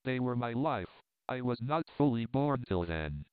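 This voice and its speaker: A man voicing a frail, dying and fervent visionary who speaks quietly and measuredly, as if saving his strength. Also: mid-range voice, lively intonation, measured pace, smooth, dry recording, close to an everyday voice. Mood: solemn. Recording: dry, tinny phone-like sound.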